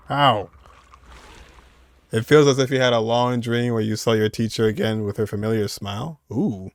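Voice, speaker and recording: A man's voice: on the low side, no room sound, clean studio sound. A man giving informal, reactive game commentary, thinking aloud in a casual way. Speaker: gaming commentator. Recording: faint game music behind. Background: game music.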